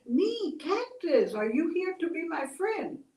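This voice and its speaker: A woman voicing a lonely male cactus who pleads in a high, tearful voice for a friend. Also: big pitch swings, slow, darkish tone, roomy sound, recorded from a distance, heavily acted. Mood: pleading.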